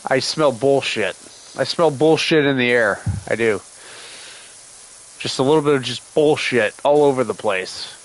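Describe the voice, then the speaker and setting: A man gives loose, sarcastic running commentary while he streams a game, griping at its characters as if they could hear him. His voice sits at an ordinary middle pitch, and he is still shaking off a cold that has left him with a lingering cough. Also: measured pace, rough recording. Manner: sarcastic, grumbling, talks back to the game's characters. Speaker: gaming streamer. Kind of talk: casual livestream game commentary.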